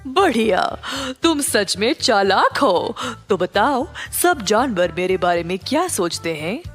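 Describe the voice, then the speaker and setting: A woman's voice: mid-range voice, sing-song, slow pace, faint music behind. A woman voicing a pompous, domineering lion king in a children's cartoon story. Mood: boastful, pleased.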